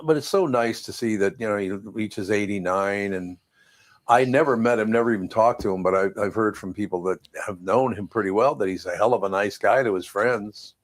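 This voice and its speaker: Deep-voiced older Midwestern male host. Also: fairly quick. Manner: relaxed storytelling, chuckles. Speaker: podcast host. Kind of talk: casual chat.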